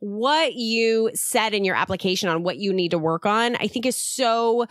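A woman's voice: medium pitch, moderate pace, fairly bright tone, dry recording, studio quality. A woman hosting a podcast coaching session, asking direct questions in an upbeat way. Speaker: podcast host and business coach. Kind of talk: live coaching interview.

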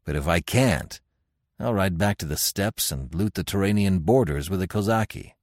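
A man playing a fierce, proud barbarian warrior chief in an audiobook adventure, gruff, growling and sardonic. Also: deep voice, expressive, normal speed, darkish tone, no echo, clean studio sound. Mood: angry, boastful.

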